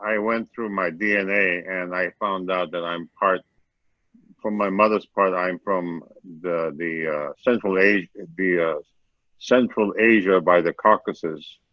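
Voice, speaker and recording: An older man with a very deep voice comes through a dull, lo-fi call line. He talks haltingly, restarting words and changing pace as he goes. The sound is close and dry, with no room around it. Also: fairly even intonation, no room sound. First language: Korean. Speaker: webinar participant. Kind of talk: casual spoken comment.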